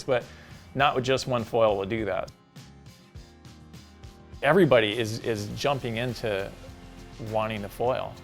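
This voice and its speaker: A middle-aged man from Hawaii talks fast and eagerly about his work, dropping in "like" and "you know". His voice sits in the middle range. Light music plays faintly in the background. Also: mid-range voice. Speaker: company co-founder. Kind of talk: casual promotional chat.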